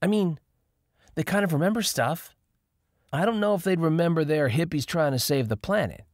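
A man's voice: on the high side, moderate pace, darkish tone, dry recording, studio quality, pitched above the performer's own voice, close to an everyday voice. A man voicing a chatty, naive young woman in an audiobook, incredulous and rambling. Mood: curious, chatty.

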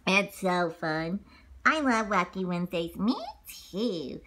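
A woman's voice: on the low side, takes it slowly, fairly bright tone. A woman doing a playful children's read-along, exclaiming, repeating phrases and adding her own asides. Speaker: storybook reader for children.

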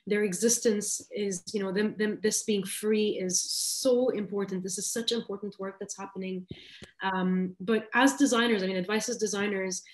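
A woman in her thirties answering questions as a guest on a video call, halting and soft, with many restarts. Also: medium pitch, steady tone, rough recording. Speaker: guest speaker. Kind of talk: casual interview answers. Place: small room indoors.

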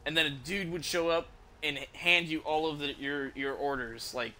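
Bright, forceful young man streaming a game. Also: on the high side, steady tone, normal speed, a little hiss or hum. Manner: profane, informal slangy talk. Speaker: video game livestreamer. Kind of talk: casual gameplay commentary with viewer chat. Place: indoor room, streaming from a desk. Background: video game music.

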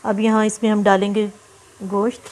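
A woman hosting a home cooking tutorial, chatting through each step and reading out ingredient amounts slowly, often repeating them. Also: medium pitch, little pitch movement, darkish tone, slight background noise. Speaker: cooking channel host. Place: home kitchen.

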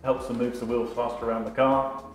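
A man's voice: mid-range voice, level tone, a little fast, faint music behind. A man guiding a tour of his team's factory, explaining things in a plain, matter-of-fact way with frequent ums. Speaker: team staff member giving a tour. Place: large workshop indoors. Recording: roomy workshop echo.

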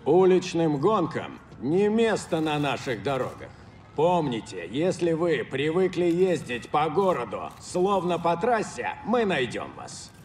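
An actor playing a ruthless, threatening male police lieutenant in a video game, stern and deliberate. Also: on the high side, very animated, a little mellow, recorded from a distance, a little hiss or hum. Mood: stern threat.

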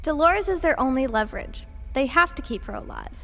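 A woman's voice: high voice, big pitch swings, a little fast, gravelly, fairly bright tone, in a large hall, faint music behind, exaggerated voice. A woman in an audio drama playing a cautious, stubborn shuttle engineer, exacting and argumentative. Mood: insistent.

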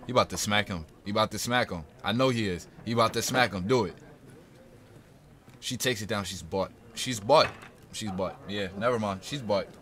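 A man's voice: fairly low pitch, normal speed, fairly bright tone. A man with an American accent giving casual, joking gameplay commentary, slangy and mock-confrontational. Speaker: game streamer.

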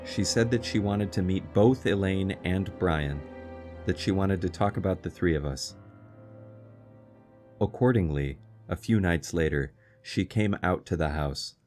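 A man playing a grave, protective young fiancé who narrates and acts in a horror radio drama, in measured speech. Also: low-pitched, a little mellow, soft background music. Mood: grave, haunted.

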